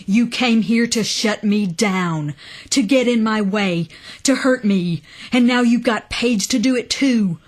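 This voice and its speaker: A woman's voice: medium pitch, expressive, a little slow, few pauses. A woman voicing a frantic, cornered man in an audiobook, accusing and breathless, with a ragged edge. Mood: bitter furious. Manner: breathless, accusing, building to shouts. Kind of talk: audiobook character acting.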